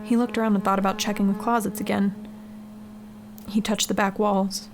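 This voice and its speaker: Even-toned woman narrating, noisy background. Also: medium pitch, normal speed. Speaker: narrator reading a short horror story. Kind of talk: scripted audiobook-style narration. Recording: clearly noisy background ambience.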